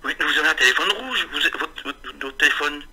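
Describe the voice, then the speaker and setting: A young man with a husky, bright and forward voice rambles through a prank phone call, stammering and piling up confused nonsense. His voice has a slightly raised pitch. The sound is thin and tinny, as if heard over a phone line. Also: animated, quick pace. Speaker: prank caller.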